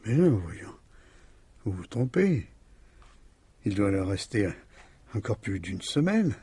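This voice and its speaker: An actor playing an ailing, sardonic middle-aged husband in a French radio mystery, with a husky voice and ironic, drawling delivery. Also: male voice, fairly low pitch, sing-song, takes it slowly, mellow, no room sound, exaggerated voice. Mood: weary, tender. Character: ailing, sardonic, bedridden husband suspected of murder.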